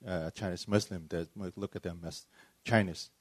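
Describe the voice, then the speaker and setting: A man from Malaysia, a pastor giving a personal account at a panel discussion in halting, hesitant phrases. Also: low voice, fairly even intonation, dry recording. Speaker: church planting pastor.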